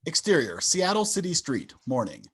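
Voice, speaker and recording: A man's voice: on the high side, huge pitch swings, moderate pace, a bit bright, husky. A man reading scripted stage directions as narrator of a table read over a video call.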